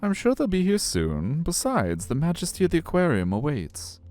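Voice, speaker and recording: A man's voice: a little high, huge pitch swings, moderate pace, a little mellow, soft background music, voice pushed up. A man voicing a friendly, eager aquarium guide with a chipper tour-leader delivery in a story game.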